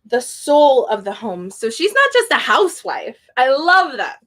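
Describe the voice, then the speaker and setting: A woman's voice: high-pitched, sing-song, measured pace, fairly bright tone. A young woman teaching a casual spoken lesson, chatty and prone to tangents, laughing often. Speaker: Spanish teacher.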